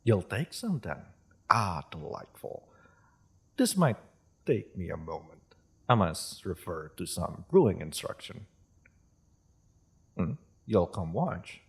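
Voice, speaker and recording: Guy doing a polite gentle dragon for an audio roleplay, husky voice, really slow and courteous, kinda hesitant. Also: sits low, very animated, heavily acted. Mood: focused, gracious. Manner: polite, refined, hesitant, softly chuckling host. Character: courteous, gentle dragon hosting tea in its cave. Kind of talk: roleplay audio drama, addressing the listener.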